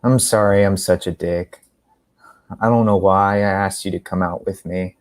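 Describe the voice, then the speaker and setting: A moody young man read by a man speaks curtly in short story dialogue. His voice is deep, with an aloof, brooding, slightly pretentious air. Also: steady tone, normal speed, dry recording, studio quality, close to an everyday voice. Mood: regretful.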